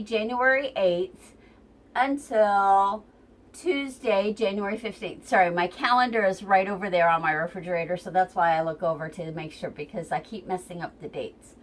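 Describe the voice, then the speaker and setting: A woman with a stuffy, runny nose giving a casual, rambling tarot reading at home near her kitchen, recorded on a tripod camera, with plenty of ums. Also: medium pitch. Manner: chatty, rambling, many ums. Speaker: tarot reader.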